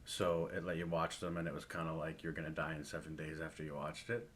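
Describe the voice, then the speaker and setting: Here is a man with a low, deep voice in a relaxed film discussion. He co-hosts a podcast and talks with real enthusiasm. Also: quick pace, a little hiss or hum. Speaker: podcast co-host.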